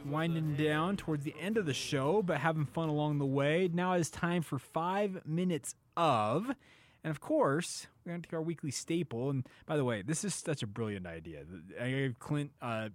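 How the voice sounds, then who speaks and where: Guy hosting a chatty sports radio show, voice a bit high, dry studio sound. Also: moderate pace, no echo.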